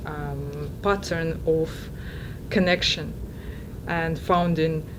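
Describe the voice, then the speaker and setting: Hesitant woman with a lowish voice. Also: extremely slow. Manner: hesitant, searching for words with many fillers. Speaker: podcast co-host. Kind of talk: casual reflective conversation. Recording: steady background noise.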